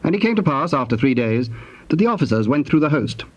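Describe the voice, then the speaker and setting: A man narrating a dramatized Bible in measured, solemn storytelling style, with a husky voice. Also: a little high, sing-song. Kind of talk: scripted scripture narration. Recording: slightly dull tape sound.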